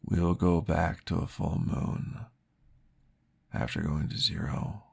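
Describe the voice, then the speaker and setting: A man voicing a calm, patient male vampire therapist, soft-spoken and reassuring, often dropping into a whisper in an ASMR roleplay. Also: low voice, takes it slowly, heavily acted. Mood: soothing whisper. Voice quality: gravelly, husky. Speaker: therapist guiding a listener.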